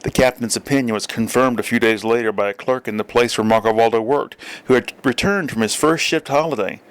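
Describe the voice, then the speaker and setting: Male audiobook reader, medium pitch, literary narration, deliberate phrasing, measured delivery. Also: smooth.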